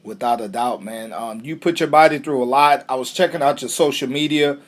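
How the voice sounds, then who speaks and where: A man's voice: medium pitch, a little fast. A man interviewing a fighter in a casual, friendly way, often calling him brother. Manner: friendly, calls guest brother.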